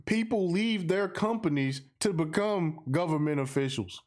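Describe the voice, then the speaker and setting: A man's voice: on the high side, lively intonation, normal speed, darkish tone. An American man hosting a casual livestream podcast, speaking in a slangy, emphatic way and repeating phrases for effect. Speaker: podcast host. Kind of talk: casual livestream monologue, responding to viewer comments.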